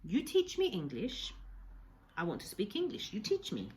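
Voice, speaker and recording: A woman reading a storybook as an earnest elderly Japanese castaway, speaking slow, measured, broken English. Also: medium pitch, wildly swooping pitch, a little mellow, a little hiss or hum.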